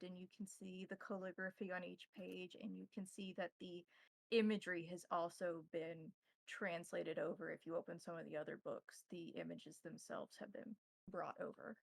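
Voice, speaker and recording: A woman's voice: on the low side, vocal fry, fairly bright tone, dry recording. A woman narrating a tabletop roleplaying game as the game master.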